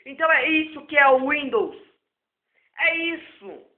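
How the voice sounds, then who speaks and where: Hoarse man, very high voice, rambling tutor, tinny and echoey. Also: expressive, unhurried. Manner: rambling and repetitive. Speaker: self-taught computer tutor. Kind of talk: improvised spoken tech lesson. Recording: echoey, phone-like lo-fi recording.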